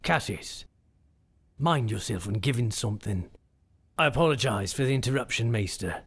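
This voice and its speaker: An actor playing a stern, proud father and older knight, spoken over loud background noise. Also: medium pitch, expressive, moderate pace, slightly rough. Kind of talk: audio drama acting.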